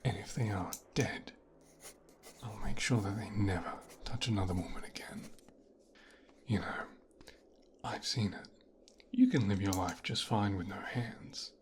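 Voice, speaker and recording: A man playing a menacing, possessive, resentful mafia boss's son in an intimate ASMR roleplay, speaking in a breathy, brooding, teasing hush. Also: fairly low pitch, slight background noise, lightly acted. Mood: bitter, resentful. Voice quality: breathy, whispered. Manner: slow, hushed, brooding, teasing.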